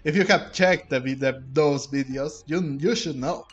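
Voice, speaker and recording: A man giving casual, rambling let's-play commentary as a game streamer, speaking haltingly. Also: fairly high pitch, lo-fi sound. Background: game music.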